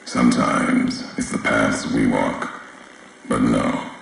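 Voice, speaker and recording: An actor playing a grim, brooding wasteland courier in a video game, raspy and solemn, speaking in clipped, cryptic phrases. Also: male voice, steady tone, takes it slowly, fairly bright tone, a little hiss or hum, exaggerated voice. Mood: grave, foreboding. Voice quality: very deep, gravelly, raspy. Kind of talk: scripted video game dialogue.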